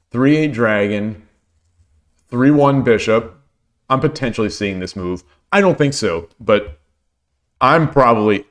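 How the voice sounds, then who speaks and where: A man with a hoarse voice streaming shogi, talking casually and thinking aloud as he looks over his games, often repeating his phrases. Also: fairly low pitch, a bit bright. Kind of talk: casual game commentary.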